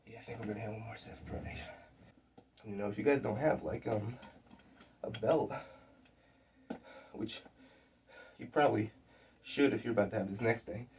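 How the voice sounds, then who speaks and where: A young man with a sick, scratchy voice and a sore throat, talking casually through his workout in a rambling, relaxed way with fillers. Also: lowish voice, moderate pace, long pauses. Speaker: fitness vlogger. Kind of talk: casual workout vlog talk. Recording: distant mic with faint background noise.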